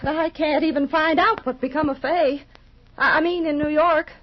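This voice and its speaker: A woman's voice: sits high, fairly even intonation, moderate pace, natural voice. An actress playing a fretful, nosy young married woman in a 1950s radio soap, whiny and insistent, with folksy grammar. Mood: peeved. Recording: muffled 1950s radio recording.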